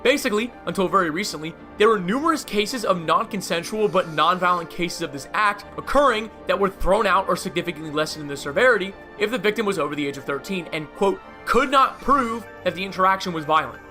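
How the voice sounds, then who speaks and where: A man's voice: sits high, lively intonation, fast, few pauses, pushed and bright. A man narrating a scripted video essay, with casual asides, over music. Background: faint music.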